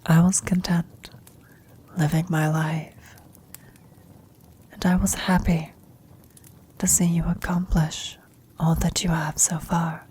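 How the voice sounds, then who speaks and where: Woman acting as dying elderly lady, asmr roleplay, soft mellow whisper, slow and tender, serene w her old love. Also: lowish voice, level tone, heavily acted. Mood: proud, loving. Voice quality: breathy, husky whisper.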